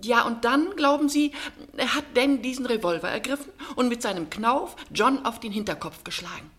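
A genteel older mother from a radio play. Her voice swings animatedly in pitch, with a dry, arch wit and a talkative, well-bred manner. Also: animated, normal speed, a bit bright. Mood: gossipy, explanatory. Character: older upper-class mother, dryly witty, talkative, genteel. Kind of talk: radio drama acting.

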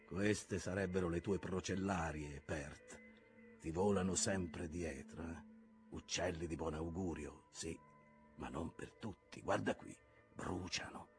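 A man voicing a brooding, obsessed old sea captain in an audiobook, switching between hushed whispers and forceful outbursts. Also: sits low, a little slow, faint music behind. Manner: intense, shifting between whispers and loud outbursts.

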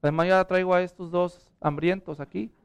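A middle-aged man with a Mexican Spanish accent delivers a passionate sermon. His voice sits high and sounds smooth and resonant. He sinks to hushed, pleading tones, then lifts into urgent appeals. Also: steady tone, moderate pace. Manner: impassioned, drops to hushed prayer then rises to urgent appeals. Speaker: pastor.

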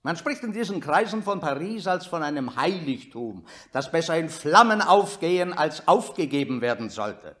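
A man's voice: fairly high pitch, very animated, moderate pace, hardly any pauses, fairly bright tone. An actor in a German radio drama playing a haughty, imperious elderly statesman who declaims and sneers. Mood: disdainful.

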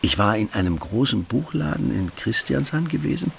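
An actor playing a calm, reflective middle-aged father in a radio drama. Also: medium pitch, normal speed, gravelly, a little hiss or hum. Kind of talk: German radio drama acting.

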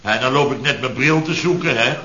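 A man's voice: mid-range voice, fairly quick, a crowd in the background, lightly acted. An actor in a radio comedy playing an exasperated chef, blustering and indignantly repeating himself as he tells of disasters. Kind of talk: radio comedy acting before an audience. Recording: echoey dull old radio recording, audience noise.

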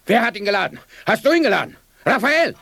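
A man's voice: high voice, very animated, fast talker, gravelly, background noise, pitched above the performer's own voice, heavily acted. A man voicing a panicked grandfather who exclaims and accuses in agitation in a radio story. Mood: panicked, accusing. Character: panicked grandfather shouting accusations over a loaded revolver.

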